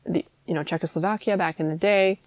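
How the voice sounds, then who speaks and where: A woman's voice: on the low side, fairly even intonation, quick pace, no room sound. Chatty young woman podcast host. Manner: chatty, laughs often. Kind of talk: casual chat.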